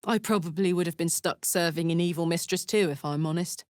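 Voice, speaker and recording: A woman playing a brash, warm-hearted, tough tiefling warrior in a video game, speaking in a blunt, slangy, heartfelt way. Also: lowish voice, fairly quick, dry recording, clean studio sound, close to an everyday voice. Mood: sincere, easygoing. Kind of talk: video game character voice acting.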